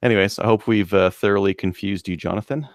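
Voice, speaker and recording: A man's voice: lowish voice, fairly even intonation, fast talker, dry recording, studio quality. A man with a Canadian accent co-hosting a casual podcast discussion, explaining things in a rambling, joking way. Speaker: podcast co-host.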